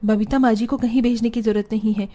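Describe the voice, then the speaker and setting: A woman voicing a patient, reasonable woman of a family in a cartoon story, measured and gently reproving. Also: on the high side, steady tone, close to an everyday voice. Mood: patient, reasoning. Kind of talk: Hindi cartoon story dialogue.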